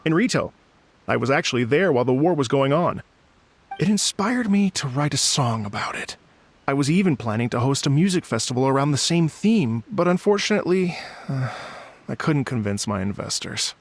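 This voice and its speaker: A man voicing a thoughtful, polite musician in a video game, speaking in a measured, courteous, gently hesitant way. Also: medium pitch, animated. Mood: reflective. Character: thoughtful, polite male musician, calm storyteller.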